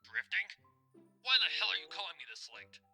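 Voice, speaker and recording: A brutish pony boss in an audio drama, played by a man, barks irately down a phone. The voice is high and very sharp, swinging widely as it hurls insults. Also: very animated, brisk, faint music behind, exaggerated voice. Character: brutish angry male pony boss, gruff and abusive.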